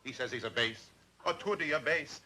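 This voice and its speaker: An actor playing a middle-aged, flamboyant opera-singing uncle who talks in a grandiose, theatrical way and bursts into aria snatches. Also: male voice, medium pitch, normal speed, heavy background noise, lightly acted. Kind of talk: 1960s sitcom acting.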